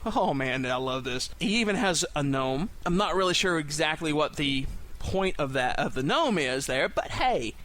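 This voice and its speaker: A man's voice: a little high, animated, moderate pace, a bit bright, slight background noise. A man hosting a podcast in a casual, enthusiastic monologue, chatty and emphatic in the way he talks. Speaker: podcast host.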